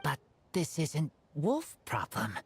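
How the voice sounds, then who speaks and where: An earnest feral teenage boy for a video game. The voice is very low and slow, in simple halting phrases, yet it moves widely in pitch with loyal intensity. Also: wildly swooping pitch, music in the background, heavily acted. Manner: halting, terse broken speech.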